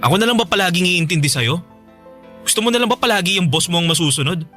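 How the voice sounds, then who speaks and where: A man's voice: sits high, expressive, fast, bright, forceful tone. A man playing a neglected, sulky boyfriend in a radio drama, reproachful and argumentative. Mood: hurt, angry. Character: frustrated, neglected young boyfriend, pleading and sulky. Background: faint music.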